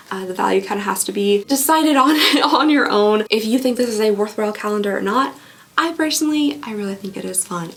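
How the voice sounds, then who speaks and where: A woman hosting a casual vlog, chatty and rambling with frequent giggles, her voice going hoarse from talking. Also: mid-range voice. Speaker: vlogger host. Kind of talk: casual unboxing review.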